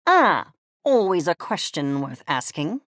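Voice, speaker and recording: A woman's voice: lowish voice, big pitch swings, measured pace, fairly bright tone, dry recording, clean studio sound. A woman voicing a haughty, eccentric furry creature scientist in a video game, speaking in a verbose, condescending and theatrical way with precise articulation. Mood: intense, fascinated. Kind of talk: video game character acting.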